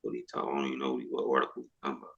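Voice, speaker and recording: A man's voice: a bass voice, fairly even intonation, racing, gravelly, fairly bright tone, slightly rough. A man hosting a livestream who talks bluntly and casually, cursing and brushing off points he finds off-topic. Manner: blunt, profane, dismissive. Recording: dull lo-fi audio.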